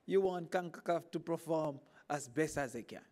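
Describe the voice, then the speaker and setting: A man's voice: normal speed, a little hiss or hum. A man with a Jamaican accent, a government minister, giving a formal speech at a podium with emphatic, rising emphasis. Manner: animated, emphatic, climbing pitch on key points.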